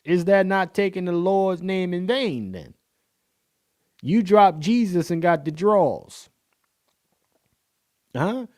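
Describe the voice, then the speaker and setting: Man, podcast host, playful reaction talk full of slang and laughs, slightly high voice, unhurried delivery. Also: a little mellow. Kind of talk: casual reaction commentary.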